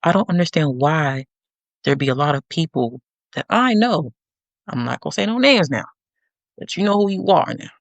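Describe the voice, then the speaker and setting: A young adult woman hosting a podcast, giving a sassy, animated monologue with teasing asides to her listeners. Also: low-pitched, normal speed, no room sound. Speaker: podcast host.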